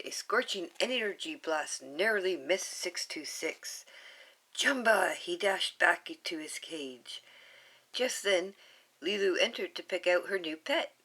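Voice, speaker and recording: A woman reading a children's storybook aloud, with small asides and a higher voice for the quoted lines. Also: a bit bright. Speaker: storybook reader.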